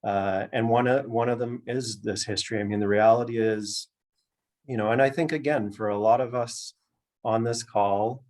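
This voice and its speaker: A man with a Canadian accent giving thoughtful, measured interview answers, feeling his way through each point. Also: mid-range voice, fairly even intonation, darkish tone. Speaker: author and outdoor education director being interviewed. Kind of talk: thoughtful interview answers at an online book launch.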